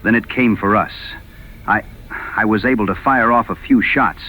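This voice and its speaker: A man playing a shaken, low-voiced frontier escort. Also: moderate pace, pushed and bright, rough recording. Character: shaken, wounded rustic wagon escort. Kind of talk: dramatized audio western dialogue.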